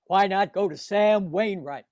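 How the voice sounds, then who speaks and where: A man playing a cruel, contemptuous old rich businessman in a radio play, speaking slowly and deliberately. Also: sits high, a little mellow, dry recording, clean studio sound. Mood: gloating, scornful. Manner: contemptuous, gloating, slow and deliberate. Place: home recording over video call.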